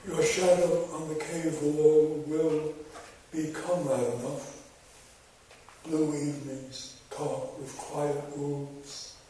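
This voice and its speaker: A man reading his own poems to an audience, with slow, measured delivery and wry asides between poems. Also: a little high, little pitch movement, dark tone. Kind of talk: poetry reading with spoken asides. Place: large hall before a live audience. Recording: very echoey hall, voice far from mic, background noise.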